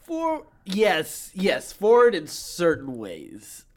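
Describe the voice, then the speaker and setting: A man's voice: sits high, huge pitch swings, slow. A man co-hosting a podcast, chatting casually about pop-culture news in a conversational, rambling way. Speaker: podcast co-host.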